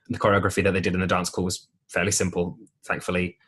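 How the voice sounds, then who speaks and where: A young man with a deep voice telling stories in a casual podcast interview, with plenty of ums and restarts. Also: fast talker, slightly rough. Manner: relaxed storytelling with many ums and restarts. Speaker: podcast guest, musical theatre performer.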